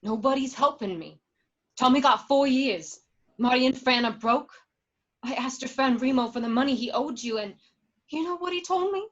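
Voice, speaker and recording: A high-pitched female voice , an actress in character as the wife of a jailed gangster. She is emotional and accusing, a wounded and angry woman in a crime radio drama. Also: expressive, normal speed, dull audio. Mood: despairing. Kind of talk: radio drama acting of a film script.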